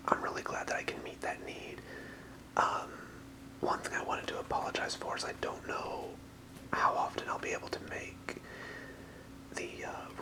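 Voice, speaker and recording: A fully whispered voice in the style of a casual ASMR video, with no voicing in it. The speaker rambles softly and hesitantly through a card showcase, sounding apologetic and unscripted. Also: rough recording. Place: apartment room.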